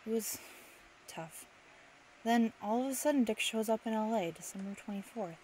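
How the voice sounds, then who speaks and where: A woman's voice: a little high, normal speed, a little mellow, close to an everyday voice. A woman playing a tough, grieving young factory widow in an old-time radio drama, brusque, slangy and impatient. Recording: noisy amateur radio play recording.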